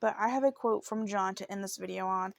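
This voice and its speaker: A woman's voice: medium pitch, a little fast, gravelly, dry recording. A woman hosting a true crime show, telling a case in a chatty, informal, conversational way. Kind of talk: casual true crime narration.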